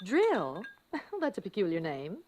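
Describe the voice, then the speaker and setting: A woman playing an amused, indulgent mother in an old-time radio drama, gently humoring a child. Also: mid-range voice, wildly swooping pitch, normal speed. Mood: amused, curious.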